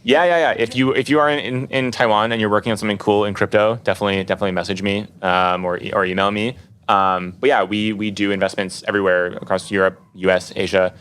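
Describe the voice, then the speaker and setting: A man's voice: on the low side, fairly even intonation, fast talker, fairly bright tone, clean tone. A man speaking as an investor in a casual panel discussion, often repeating words and padding with "you know".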